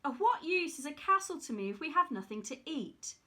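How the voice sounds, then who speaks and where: A woman's voice: high voice, big pitch swings, a little fast, bright, forceful tone, exaggerated voice. Woman reading as a worried poor fisherman. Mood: earnest. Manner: storybook read-aloud.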